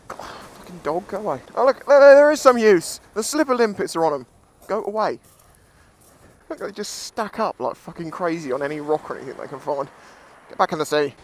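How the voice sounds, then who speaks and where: A British man giving casual, jokey, sweary commentary as he vlogs outdoors on a seashore. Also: fairly high pitch. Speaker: beachcombing vlogger.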